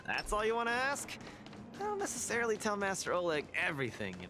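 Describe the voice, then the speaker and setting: A man's voice: sits high, huge pitch swings, fast talker. A man voicing a cocky, friendly young male street fighter who talks brashly and upbeat, often laughing. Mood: teasing. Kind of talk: studio video game voice acting. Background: game music.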